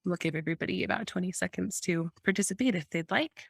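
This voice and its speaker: A woman hosting an online webinar, with a slightly low voice. She talks in a light, easygoing way. The recording is dry. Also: quick pace, creaky, husky, no room sound. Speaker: webinar host. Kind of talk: moderating a webinar.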